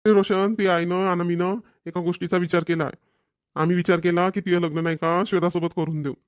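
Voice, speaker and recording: A woman's voice: lowish voice, little pitch movement, a little fast, no room sound, studio quality, lightly acted. A woman voicing a firm, insistent middle-aged village father in a family cartoon, with a Varhadi Marathi accent. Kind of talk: cartoon family comedy voice acting.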